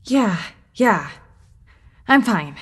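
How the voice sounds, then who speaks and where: A woman playing a plucky, impatient young adventurer in an audio drama, with an urgent, expressive delivery. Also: mid-range voice, extremely slow, slight background noise. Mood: determined. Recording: music under the voice.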